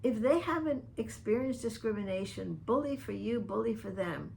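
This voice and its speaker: A woman's voice: on the high side, expressive, moderate pace, creaky, darkish tone, a little hiss or hum. An older woman from the Dominican Republic, speaking straight to one listener by name in an earnest, pointed personal video message. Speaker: vlogger addressing a public figure. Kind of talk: personal open-letter video monologue.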